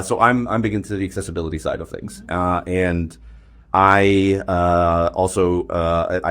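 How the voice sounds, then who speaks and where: Low-voiced, nervous male interviewer. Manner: nervous, halting. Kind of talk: casual interview chat.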